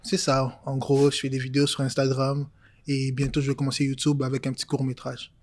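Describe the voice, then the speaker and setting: A man in his twenties from Congo, talking casually as an interview guest, relaxed and hesitant with many fillers. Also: mid-range voice, fairly quick. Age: 20s.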